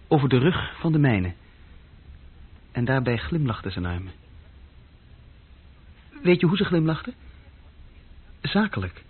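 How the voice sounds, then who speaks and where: A man's voice: on the high side, husky. An actor playing an uneasy, insecure young junior clerk who tells his story hesitantly and intimately in a radio play. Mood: brooding. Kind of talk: radio play acting, intimate first-person narration. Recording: dull, muffled old radio recording.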